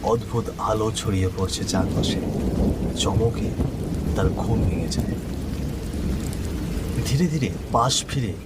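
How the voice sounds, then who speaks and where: A man's voice: fairly low pitch, slow, long pauses, heavy background noise. A man narrating a scripted horror story. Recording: loud rain and thunder behind voice. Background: rainstorm, thunder.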